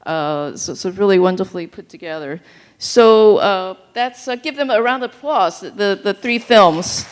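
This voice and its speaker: A woman's voice: mid-range voice, moderate pace. A woman hosting a festival event, chatty and stumbling as she introduces the films. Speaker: event host. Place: theater.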